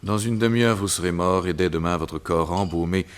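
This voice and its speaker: An actor voicing a gloating, theatrical villain who is a doctor and hypnotist in a vintage radio drama. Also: male voice, low-pitched, a little fast, a little hiss or hum, natural voice. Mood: coldly smug. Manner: sneering, theatrical, laughs at his own cruelty.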